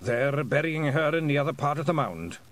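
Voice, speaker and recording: Proud polite scottish pixie bard for a storybook, big rolled Rs, careful words, pitched up. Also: male voice, lively intonation, fairly bright tone. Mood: solemn, proud. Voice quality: rolled drum-roll Rs. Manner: clear, slow, careful speech. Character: small clan bard, polite, solemn, proud pixie man.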